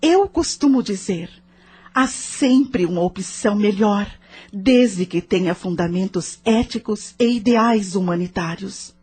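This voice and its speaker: A Brazilian woman acting a patient, moralizing spirit mentor in an audio drama, measured, probing and didactic. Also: mid-range voice, a little mellow, husky. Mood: patient, instructive.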